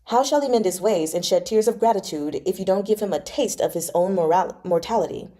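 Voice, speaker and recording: A woman's voice: medium pitch, fairly quick, warm tone, lightly acted. A woman voicing a shrewd, composed courtier, steadily persuading, in a novel read-aloud. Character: shrewd, composed male courtier advising the emperor.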